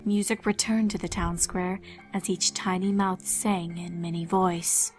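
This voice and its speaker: A woman's voice: fairly low pitch, fairly even intonation, measured pace. A woman reading fan fiction aloud as a dramatic scripted narration. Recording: music behind the voice. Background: background music.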